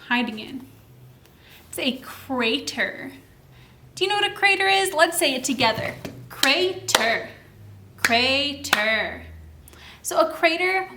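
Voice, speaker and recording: A woman hosting story time as a children's librarian, teacherly and animated, explaining a picture book to young children with questions. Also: sits high. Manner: animated, teacherly, encouraging participation.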